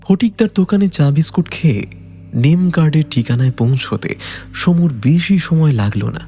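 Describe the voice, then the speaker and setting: Guy narrating a mystery story, mellow voice, goes up and down a lot, music under it. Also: fairly high pitch, expressive, moderate pace. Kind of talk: dramatic scripted detective story narration.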